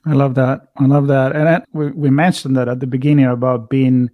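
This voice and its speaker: A man's voice: medium pitch, level tone, brisk, no room sound, phone-quality sound. A man with an Italian accent, a podcast host chatting casually in a musing, loosely phrased way. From Italy. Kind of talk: casual conversational interview.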